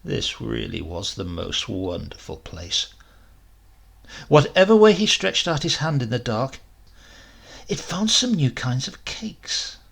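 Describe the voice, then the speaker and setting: A man narrating a short story in a measured, expressive way, with deliberate pauses for drama. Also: mid-range voice, vocal fry. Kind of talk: dramatic scripted literary narration.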